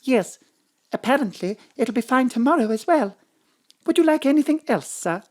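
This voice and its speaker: A polite female pharmacy assistant, makes courteous small talk in a very high voice. The delivery is chatty, in audio drama style. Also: expressive, normal speed, a little mellow. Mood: polite, pleasant.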